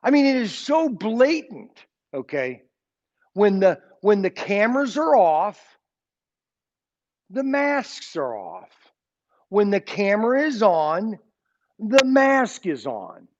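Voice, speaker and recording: A man's voice: high-pitched, huge pitch swings, takes it slowly, long pauses, highs cut off. A man in his sixties teaching an informal Sunday school Bible lesson, rambling and often checking in with his listeners. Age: 60s. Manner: rambling, keeps checking in with "okay?".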